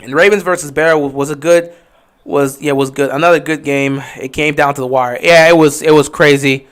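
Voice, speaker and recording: Guy streaming a game, calling plays casual, kinda high voice, jumps up loud on big moments. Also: brisk, smooth. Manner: excitable, rising sharply on big plays. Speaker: livestream game commentator. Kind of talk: casual live play-by-play commentary.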